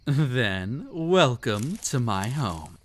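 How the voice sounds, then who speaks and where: A man playing a mysterious, teasing host of a haunted estate in an immersive roleplay audio, telling tales to the listener with dramatic pauses. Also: medium pitch, sing-song, background noise. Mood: mysterious, inviting. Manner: teasing, long-winded storyteller, slow dramatic pauses.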